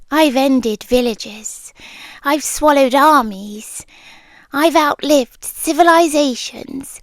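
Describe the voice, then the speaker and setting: A woman's voice: high voice, no room sound, full character voice. A British woman playing an ancient, possessive, lovelorn forest monster in an intimate ASMR roleplay, drifting into whispers. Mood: brooding, menacing. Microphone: close microphone for headphones.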